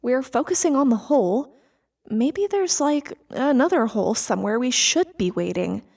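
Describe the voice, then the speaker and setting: A woman's voice: high-pitched, animated, moderate pace, vocal fry, darkish tone, full character voice. A woman playing an uneasy, questioning crew member in a sci-fi audio drama, hesitant and musing but insistent. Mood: worried, speculating.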